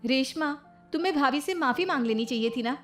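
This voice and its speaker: Woman acting a high-voiced sensible elder sister. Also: lively intonation, brisk, faint music behind. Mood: gently reproving. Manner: reasoning, explaining. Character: sensible, gentle young eldest sister.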